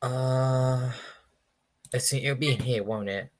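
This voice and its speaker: A livestream gamer giving casual gameplay commentary in murmured asides. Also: female voice, rumbling low, slow pace, a little hiss or hum. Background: game music.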